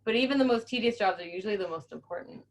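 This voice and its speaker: A woman's voice: medium pitch, rapid-fire. A woman reading a children's story aloud expressively and chatting casually as host.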